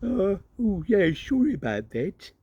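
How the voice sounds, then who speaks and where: A man doing a stammering, excitable wizard dad. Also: high-pitched, measured pace, muffled recording, a little hiss or hum, pitched above the performer's own voice. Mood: apologetic. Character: flustered, enthusiastic middle-aged wizard father.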